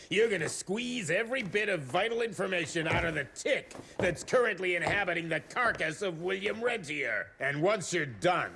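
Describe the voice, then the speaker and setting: Sneering foul-mouthed boss, yelling, voice swinging all over. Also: male voice, high voice, a little hiss or hum, heavily acted. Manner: shouting, abusive, sneering. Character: foul-mouthed pompous male government secretary, bullying. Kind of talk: video game cutscene acting.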